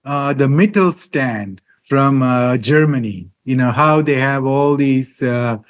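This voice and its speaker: A man hosting an interview, asking long questions with frequent hesitations and filler pauses. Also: medium pitch. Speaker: interview host.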